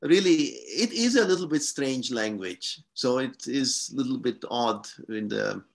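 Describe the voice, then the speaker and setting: A man in his fifties, a native Hungarian speaker, talking slowly with many pauses and fillers on a video call from a room at home. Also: medium pitch, lively intonation, creaky. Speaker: guest scientist. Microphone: video call webcam.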